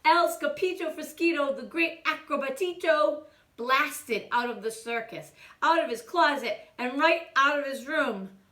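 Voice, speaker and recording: A woman reading a children's picture book aloud in a theatrical way, with hushed asides and exclamations. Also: sits high, measured pace, echoey room. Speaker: children's storytime reader.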